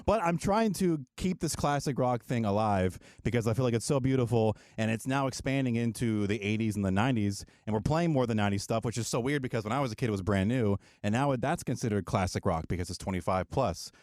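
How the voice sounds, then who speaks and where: A man's voice: medium pitch, quick pace, hardly any pauses, darkish tone, dry recording, studio quality. A man in his thirties hosting an interview, asking friendly, rambling questions. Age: 30s. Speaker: podcast host. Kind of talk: casual interview.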